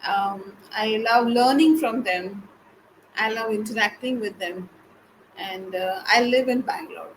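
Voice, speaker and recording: A woman's voice: mid-range voice, measured pace, a little hiss or hum, rough recording. A young woman, a tutor asking friendly, curious questions. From India. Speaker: English tutor. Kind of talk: casual online conversation.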